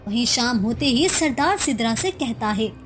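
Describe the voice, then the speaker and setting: Female narrator for cartoon moral tales: high-pitched, animated, dramatic, with faint music underneath. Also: moderate pace.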